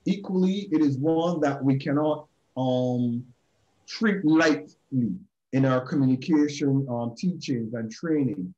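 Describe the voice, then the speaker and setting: A man's voice: mid-range voice, slow, a little mellow, lo-fi sound. A man with a Barbadian accent speaking emphatically in a group session, repeating key phrases and addressing listeners directly, on a video call. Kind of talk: preachy motivational talk.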